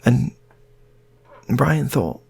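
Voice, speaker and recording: Male British storyteller, loose and rambling improvised comedy, widely varied pitch, rough husky tone. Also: big pitch swings, drawn-out words, warm tone. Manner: rambling, repetitive. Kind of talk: improvised casual comic storytelling.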